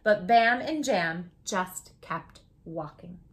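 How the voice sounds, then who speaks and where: A woman's voice: lowish voice, lively intonation, slow pace. A woman hosting a children's read-aloud, narrating a storybook expressively and putting questions to her young listeners.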